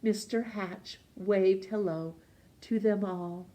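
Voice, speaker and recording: A woman reading a children's storybook as narrator, expressive, with dramatic pauses and emphasis. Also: mid-range voice. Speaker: storytime reader.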